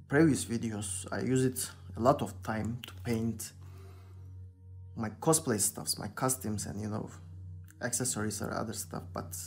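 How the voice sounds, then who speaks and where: A young Turkish man with a Turkish accent, chatting casually in hesitant, searching English while he paints a figure at his workbench. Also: mid-range voice. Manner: hesitant, searching for English words, many "you know". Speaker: hobby painter, channel host. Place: indoor workbench.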